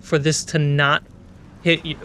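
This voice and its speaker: A man running a tabletop game as game master, narrating dramatically with deliberate pauses. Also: on the high side, little pitch movement, sharp tone, slight background noise. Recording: background music under the voice.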